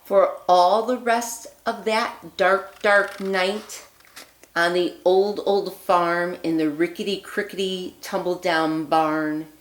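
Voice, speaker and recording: A woman teacher reading a children's picture book aloud, phrasing deliberately and expressively with emphasis on the rhymes. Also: lowish voice, steady tone, a little hiss or hum. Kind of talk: read-aloud storytelling for young children. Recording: echoey room.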